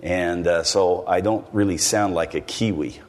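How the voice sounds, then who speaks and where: An older man with an American accent preaches in a relaxed, folksy manner, wandering through side stories and asking the congregation questions. His voice sits very low. It rings out with a long reverb, as in a large church hall. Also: measured pace. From United States. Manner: folksy, rambling, with asides to the congregation. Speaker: visiting preacher. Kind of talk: sermon.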